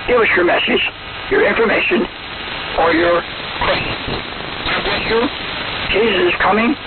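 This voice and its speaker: A man preaching on the radio, deliberately giving out contact details and asking listeners to respond. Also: high-pitched. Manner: deliberate, spelling things out slowly. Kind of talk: religious broadcast appeal, spelling out contact details. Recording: hissy, narrow-band shortwave radio with heavy noise. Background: loud radio hiss.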